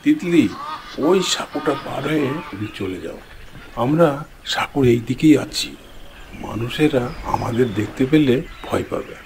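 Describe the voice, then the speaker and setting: Man as a sensible, mid-pitched cartoon animal leader. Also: animated, moderate pace, creaky, long pauses, background noise. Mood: reassuring. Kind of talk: children's fairy-tale cartoon acting.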